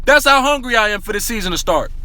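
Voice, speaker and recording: A high, bright-voiced man fires off a hyped basketball rant full of slang and repetition. His voice sounds worn, like he is losing it, and he keeps calling out to his viewers. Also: fast talker, no echo. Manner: excitable, repetitive, slangy, addresses viewers as y'all. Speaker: sports talk vlogger.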